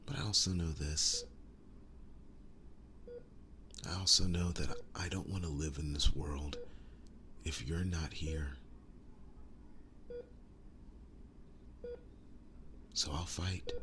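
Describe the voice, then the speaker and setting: A man playing a devoted, tender werewolf boyfriend in an intimate ASMR roleplay, speaking softly and breathily, often dropping to a whisper, earnest and halting. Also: rumbling low, background noise. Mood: earnest, resolute. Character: devoted, tender werewolf boyfriend sharing his soul with demon knight.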